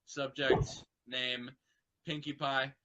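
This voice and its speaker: Cartoon pony scientist, female, bookish and exasperated, voiced by a man; forceful, dramatic, clinical delivery. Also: mid-range voice, flat delivery, slow, muffled recording, pitched below the performer's own voice.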